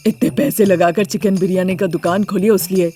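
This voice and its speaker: A woman's voice: lowish voice, fairly even intonation, fairly quick, dark tone, faint music behind, lightly acted. Woman acting a scornful, bossy mother-in-law. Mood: scornful, complaining. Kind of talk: Hindi moral story character voice.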